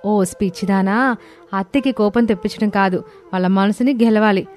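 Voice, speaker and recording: A woman's voice: mid-range voice, a little fast, darkish tone, lightly acted. Woman as patient elder daughter-in-law, music behind. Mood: reasoning. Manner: reasoning, placating. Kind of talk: animated moral story dialogue. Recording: voice over prominent music bed.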